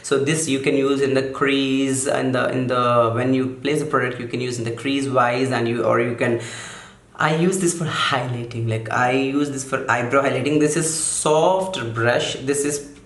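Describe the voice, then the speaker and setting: A man calmly walking through makeup brushes in a casual vlog, softening his voice on purpose and often stopping to correct himself with fillers. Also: mid-range voice, echoey room. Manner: halting, many fillers and self-corrections; deliberately softened, soothing delivery. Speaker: makeup vlogger. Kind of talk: casual product walkthrough.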